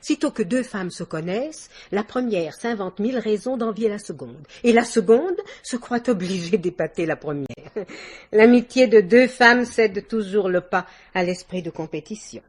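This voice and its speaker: A woman's voice: mid-range voice, normal speed, close to an everyday voice. An actress playing a worldly, domineering older society woman in a vintage French radio drama, theatrical and effusive with little laughs. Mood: mannered, charming.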